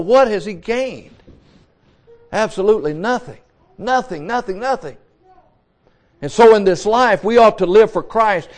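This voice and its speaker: High-voiced older man preaching a sermon. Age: 60s. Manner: repeats words, restarts, folksy asides. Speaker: pastor.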